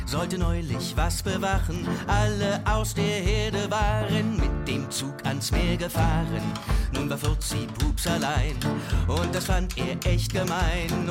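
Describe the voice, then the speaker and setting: A man performing a storytelling children's song in rhyme. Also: high-pitched. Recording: loud country music throughout. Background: country band music.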